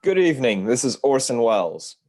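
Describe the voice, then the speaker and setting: An actor playing a grave 1930s radio presenter and storyteller, narrating in a measured, dramatic way. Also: male voice, medium pitch, sing-song, darkish tone, dry recording, clean studio sound. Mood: formal, welcoming.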